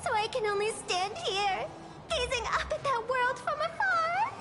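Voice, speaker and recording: A woman's voice: very high voice, animated, measured pace, loud music behind the voice. A woman voicing a sweet, adoring young fox-girl fan in a gushing, theatrical anime game style. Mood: adoring, tearful.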